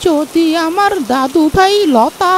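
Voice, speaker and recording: A woman's voice: extremely high pitch, takes it slowly, noisy background, exaggerated voice. A woman voicing a fond, plain-spoken elderly village grandmother in a cartoon. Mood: grateful.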